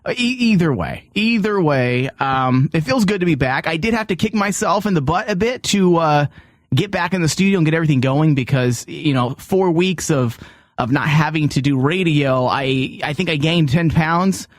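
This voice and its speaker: A man's voice: a little high, expressive, normal speed, no echo, studio quality. A man hosting a casual comedic radio chat, blunt and self-deprecating in his joking. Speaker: show host. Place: radio studio.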